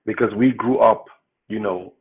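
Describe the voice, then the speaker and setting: A man's voice: on the low side, normal speed. Man from Cameroon on a tinny line. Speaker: political candidate. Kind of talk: interview storytelling.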